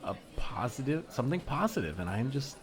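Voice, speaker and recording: A man's voice: medium pitch, big pitch swings, fairly quick, close to an everyday voice. A man playing a needy, over-eager young woman in improvised comedy, talking in a hesitant, rambling, awkward way. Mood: flabbergasted, grateful.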